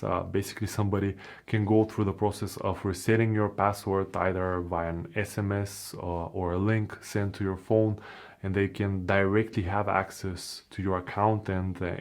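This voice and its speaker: A man with a Bulgarian accent, a native Bulgarian speaker, explaining online security step by step in a plain teaching manner with frequent fillers. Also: on the low side. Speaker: crypto security educator. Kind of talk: explainer video narration.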